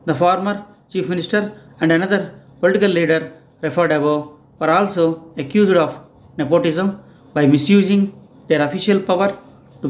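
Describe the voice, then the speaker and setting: Man reading slow shorthand dictation. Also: on the high side. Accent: Indian English. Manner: measured phrase-by-phrase dictation. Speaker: shorthand instructor.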